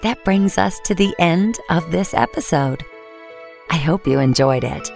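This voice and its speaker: Woman host, low voice, creaky, soothing, scripted children's bedtime podcast, music underneath. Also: measured pace, darkish tone. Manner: soothing, addressing little children directly.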